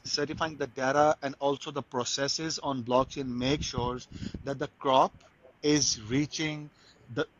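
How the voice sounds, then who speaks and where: A man's voice: mid-range voice, muffled recording, slight background noise. A man from Pakistan, a guest in a casual interview, talking eagerly with many restarts and stumbles. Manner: frequent restarts and stumbles, enthusiastic. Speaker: guest, crypto marketer.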